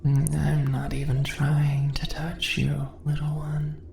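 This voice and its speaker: Slow, low, seductive spider-woman played by a woman. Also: completely flat delivery, gravelly, mellow, exaggerated voice. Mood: taunting, seductive. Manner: teasing, purring, slow, often dropping into whispers. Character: seductive, dominant spider-woman monster toying with captured prey. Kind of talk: ASMR fantasy roleplay. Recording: roomy echo, faint background noise.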